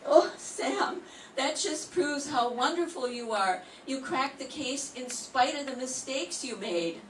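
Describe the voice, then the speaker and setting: An adoring, devoted secretary from a vintage detective radio play, performed by an older woman. The voice is high and gushing, with theatrical sweetness. It is recorded from afar in an echoey space. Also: animated, slow. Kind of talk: 1940s radio detective acting. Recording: roomy distant mic, clear background noise.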